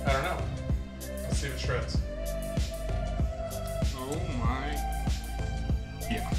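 A man in his thirties talking through a home cooking vlog in a relaxed, jokey way, filmed in a large kitchen by a camera across the room. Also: medium pitch, takes it slowly, far from the mic. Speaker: cooking vlogger. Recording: roomy kitchen sound from the camera, music behind. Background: background music.

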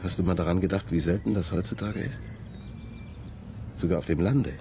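An actor playing a troubled, intense young male student in a radio drama, speaking in a brooding, halting way. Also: low voice, warm tone, slight background noise. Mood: haunted, confiding. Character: troubled young male student haunted by his ancestor's memories. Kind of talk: German radio drama dialogue.

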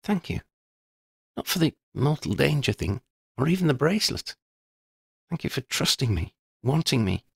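A man's voice: big pitch swings, normal speed, vocal fry, long pauses, husky, dry recording, clean studio sound, exaggerated voice. A man reading aloud and voicing a curious, eager teenage schoolgirl who stammers when flustered. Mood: grateful. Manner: high put-on voice, stammering.

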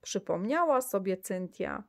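A woman reading a children's story aloud, lively, with the children's lines in brighter, higher voices. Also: unhurried.

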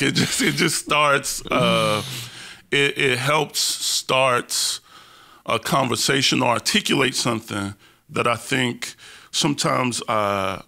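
A man's voice: medium pitch, vocal fry, sharp tone. A man hosting an interview in a casual, candid, slangy way, poking fun at himself and often repeating phrases as he searches for words. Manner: candid, slangy, self-deprecating. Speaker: podcast host interviewing a guest. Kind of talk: casual, personal interview chat.

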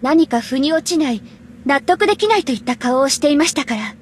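High-voiced, courteous young warrior woman. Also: lively intonation, a little fast, a little hiss or hum. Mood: earnest, explanatory. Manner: polite, formal, composed. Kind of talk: Japanese anime game voice acting.

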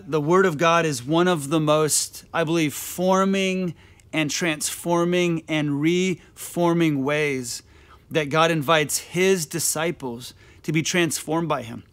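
A man in his thirties preaching a sermon on a dark stage, earnest, often repeating phrases as he builds his point. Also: fairly high pitch. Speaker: pastor.

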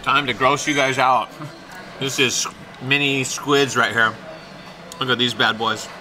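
A man in his fifties hosting a casual food vlog, chatty and playful, reacting loudly as he tastes sushi in a small restaurant. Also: medium pitch, steady tone, measured pace, over music. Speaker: food vlogger host. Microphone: handheld camera close up. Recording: noisy restaurant room.

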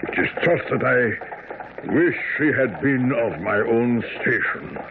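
An actor playing a lovelorn, haughty Bohemian king in a radio drama, speaking gravely and haltingly. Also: medium pitch, sing-song, background noise, full character voice. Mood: moody, wistful. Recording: tinny, narrow-band vintage radio broadcast.

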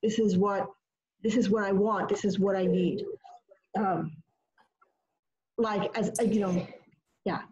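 A woman's voice: mid-range voice, steady tone, a little slow, long pauses, a little mellow. Earnest woman teaching over a video call. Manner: earnest, repeats phrases while thinking aloud. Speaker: teacher leading an online study session. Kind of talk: online class discussion. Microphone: laptop microphone on a video call. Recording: dull lo-fi video call audio.

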